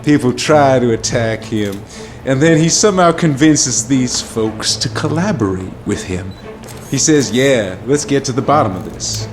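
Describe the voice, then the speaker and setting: An actor playing an admiring commentator giving an explanatory interview soundbite in a mock news documentary. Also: male voice, medium pitch, animated, a little slow, soft background music, close to an everyday voice.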